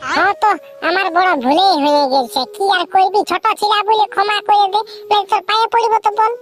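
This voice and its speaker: A man voicing a mischievous little village boy in a comic cartoon, with a Purulia Bengali accent and a pitched-up voice. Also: fairly even intonation, fairly quick, fairly bright tone. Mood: sheepish teasing. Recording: background music throughout.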